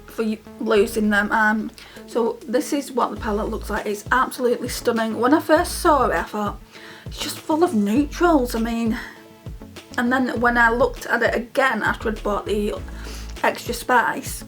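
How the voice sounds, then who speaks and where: British woman vlogger, higher-pitched, chatty. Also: normal speed, a bit bright, faint music behind. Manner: rambling, chatty, addressing viewers directly. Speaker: beauty vlogger. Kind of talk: casual chatty makeup vlog.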